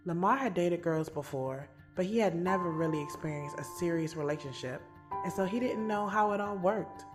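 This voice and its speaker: A woman's voice: lowish voice, normal speed, over music, natural voice. A woman playing a bold, frank schoolteacher, narrating an audio drama in a conversational, slangy, confiding way. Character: bold, frank, strong-willed adult woman schoolteacher narrating her love life. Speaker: narrator and lead actor in an audio drama series. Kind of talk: first-person dramatic narration, conversational and streetwise.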